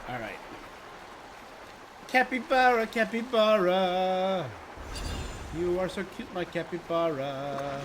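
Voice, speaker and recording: A man's voice: high voice, expressive, takes it slowly, pauses often, background noise. A man giving casual livestream commentary on a game, thinking aloud and playfully reacting.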